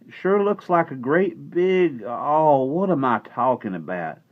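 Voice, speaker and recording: A man's voice: medium pitch, big pitch swings, measured pace, voice pushed up. A man voicing a tiny startled cowboy with a folksy drawl. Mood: baffled. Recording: dull lo-fi recording.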